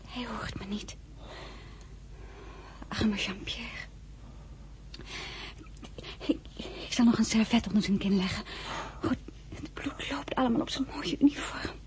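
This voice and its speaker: A woman's voice: fairly high pitch, darkish tone. An actress playing a sorrowful young woman narrating her own life in a dramatic radio play. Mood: pitying, distressed. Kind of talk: dramatic first-person narration.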